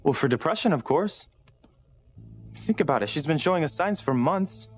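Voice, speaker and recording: A man's voice: fairly high pitch, expressive, normal speed, dry recording, faint music behind. A man acting in a soap opera as an impulsive, earnest father, insistent and emotional. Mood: remorseful. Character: intense, demanding young father, impulsive and earnest.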